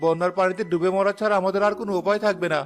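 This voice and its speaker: A man's voice: high voice, fairly even intonation, racing, faint music behind, lightly acted. A man voicing a desperate, humble villager in a cartoon, pleading deferentially. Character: desperate, humble, poor villager begging for shelter. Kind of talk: cartoon voice acting.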